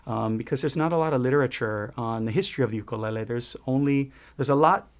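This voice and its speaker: A man's voice: mid-range voice, fast talker. Middle-aged man from Hawaii, mid-range voice, earnest interview guest, restarts often. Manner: earnest, with frequent restarts and fillers. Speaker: guest, ukulele shop owner. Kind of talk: conversational interview.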